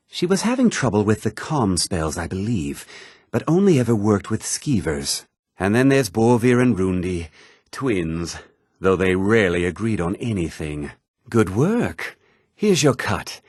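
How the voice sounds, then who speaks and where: A haughty townsman from a fantasy video game, condescending and pompous. The voice is husky and a little low, swinging widely in pitch as he delivers his dismissive lines. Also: no echo, studio quality, exaggerated voice. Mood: solemn, explanatory, then gruff, cocky.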